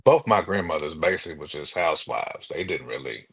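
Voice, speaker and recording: An American man co-hosting a podcast in casual conversation, telling things in an animated, colloquial way with imagined quotes. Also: rumbling low, a little fast, husky. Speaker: podcast co-host.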